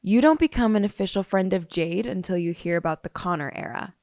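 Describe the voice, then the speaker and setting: A woman's voice: fairly low pitch, fairly quick, darkish tone, no room sound, clean studio sound, close to an everyday voice. A woman acting a blunt, dismissive, jaded teenage girl testifying in an audio drama. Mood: fed up. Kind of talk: audio drama monologue, police interview testimony.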